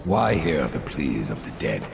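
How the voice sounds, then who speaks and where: An actor playing a grim, defiant horseman of the apocalypse in a fantasy video game, dry, menacing and gravelly. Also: very animated, measured pace, noisy background, full character voice. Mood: cold, defiant. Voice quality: deep, gravelly. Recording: music underneath.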